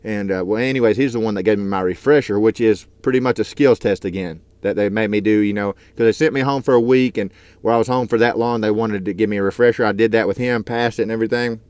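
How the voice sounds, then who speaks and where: A man's voice: fairly low pitch, steady tone, fast talker, darkish tone, smooth, no echo. A man in his thirties talking casually to his vlog from his truck, earnest and rambling with lots of fillers. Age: 30s. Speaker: truck driver and YouTuber.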